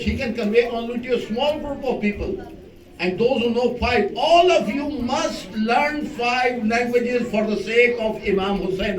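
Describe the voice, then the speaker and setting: A man's voice: very high voice, distant mic. A man whose first language is Pashto, giving a religious lecture and talking directly to his audience with emphatic lists and questions. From Afghanistan or Pakistan. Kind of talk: sermon to a live audience. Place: large mosque hall. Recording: echoey hall, speaker off-mic.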